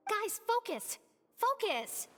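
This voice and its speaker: A woman's voice: extremely high pitch, big pitch swings, takes it slowly, pushed and bright, slight background noise, heavily acted. A woman playing a flustered, indignant young research assistant in a video game, exclamatory and urgent. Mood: exasperated. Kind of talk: animated video game voice acting.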